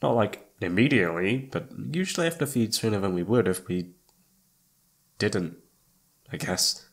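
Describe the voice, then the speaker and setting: A man's voice: on the low side, animated, normal speed. A man playing a shy, flustered young incubus roommate in an ASMR roleplay, hesitant and awkward, often trailing off. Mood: awkward, explaining. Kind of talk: ASMR roleplay audio drama.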